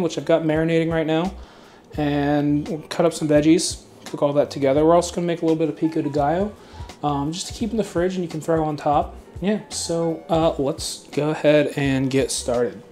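Laid-back man teaching a home recipe. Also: a little high. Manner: relaxed and chatty, many fillers, mild swearing. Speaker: cooking channel host. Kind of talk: casual cooking tutorial. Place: home kitchen, indoors.

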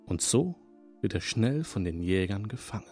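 A man leading a guided hypnosis session, speaking in a calm, soothing, repetitive manner. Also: lowish voice. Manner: slow, soothing, hypnotic, repeats phrases. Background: soft background music.

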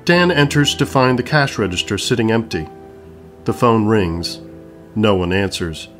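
A man's voice: lowish voice, unhurried, a bit bright. A man narrating an audio play, reading scripted stage directions. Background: music.

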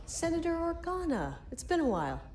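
An actress playing a disciplined, earnest military commander in a video game, speaking with crisp restraint. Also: female voice, very high-pitched, wildly swooping pitch, brisk, music in the background, heavily acted. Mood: dry. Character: disciplined, earnest female commander, defector soldier. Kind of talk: cinematic video game acting.

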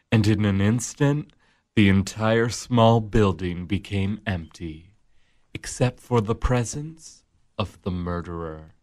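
A slow, mocking Devil. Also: male voice, lowish voice. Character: the Devil, smooth, amused male tempter. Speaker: narrator and tempter. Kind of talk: radio drama acting.

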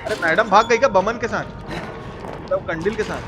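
A man's voice: high-pitched, brisk. A man with a Bihar and Purvanchal Hindi accent giving casual, playful live gaming commentary, full of colloquial exclamations and repeated phrases. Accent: eastern Hindi belt (Bihar and Purvanchal). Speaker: live game streamer. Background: video game music.

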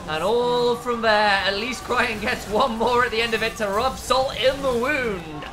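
A man co-casting a live esports match, calling fights rapidly and building to dramatic finishes. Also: extremely high pitch, noisy background. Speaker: esports co-caster. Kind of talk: play-by-play commentary.

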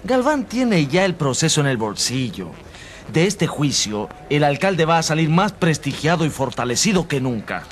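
A man's voice: fairly high pitch, big pitch swings, moderate pace, slight background noise. A man playing a seasoned, cocky court journalist in a radio drama, amused and emphatic. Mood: mocking, amused.

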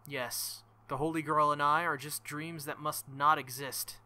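A man reading aloud as a stern, earnest young woman knight-king, in formal, measured speech without contractions. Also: on the high side, fairly even intonation, pushed and bright. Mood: solemn. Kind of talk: reading visual novel dialogue aloud.

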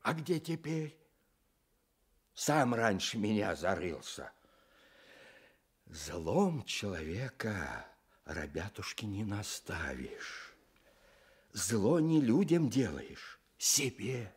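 A man's voice: on the low side, sing-song, very slow, long pauses, breathy edge, exaggerated voice. An actor playing a pious, sorrowful old village stove-builder in a radio play, telling his story earnestly with folksy sighs. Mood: preachy, rueful. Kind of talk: Soviet radio play acting.

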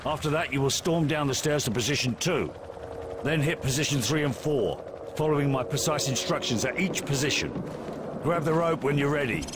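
A man's voice: on the high side, expressive, moderate pace, noisy background, natural voice. A man playing a blunt, commanding British special forces captain in a military video game. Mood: stern, briefing. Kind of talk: video game dialogue, giving orders.

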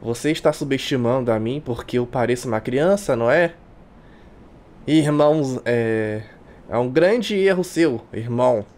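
A man's voice: mid-range voice, expressive, measured pace, darkish tone, natural voice. Man playing an arrogant, indignant werewolf boy. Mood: taunting, angry. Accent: Brazilian.

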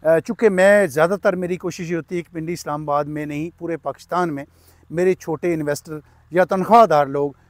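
Persuasive Pakistani man, outdoor pitch. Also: a little fast, dry recording. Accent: Pakistani Urdu. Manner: fluent, persuasive, steady listing of prices with frequent "uh" fillers. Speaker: real estate agent. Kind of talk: site-visit sales pitch to camera. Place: outdoors at an open housing plot, sunny. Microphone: lapel mic.

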